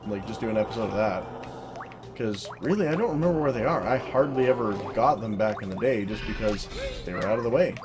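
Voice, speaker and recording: A woman with an unusually deep, low voice narrates her gameplay in a flat, monotone, offhand way. She jokes at her own expense and swears casually. Loud video game music plays behind her voice throughout. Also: quick pace. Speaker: let's play commentator. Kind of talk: solo gameplay commentary.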